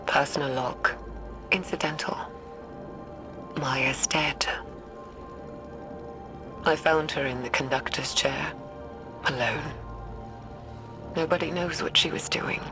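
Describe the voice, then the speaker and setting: An actress playing an aging, guilt-ridden woman scientist recording a weary, halting, breathy audio log for a video game. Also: low-pitched, fairly even intonation. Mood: shaken, bitter. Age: older. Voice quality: breathy, whispery. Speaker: researcher recording audio logs. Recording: music behind the voice.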